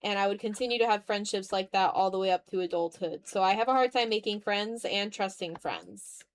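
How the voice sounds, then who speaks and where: Casual, animated young woman streamer. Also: mid-range voice, brisk. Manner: animated, slangy, self-deprecating. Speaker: art livestreamer. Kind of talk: casual livestream chat while drawing.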